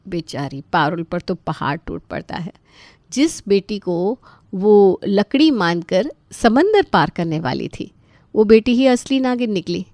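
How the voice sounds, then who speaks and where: A woman's voice: medium pitch, big pitch swings, unhurried, a little mellow, no echo, studio quality. A woman reading a scripted story as the narrator.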